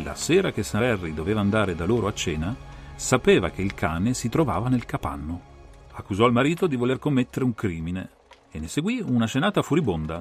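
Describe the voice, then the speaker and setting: A man's voice: mid-range voice, gravelly. A man playing a composed, analytical detective who lays out a case with assured, methodical, theatrical phrasing in a dramatised audiobook.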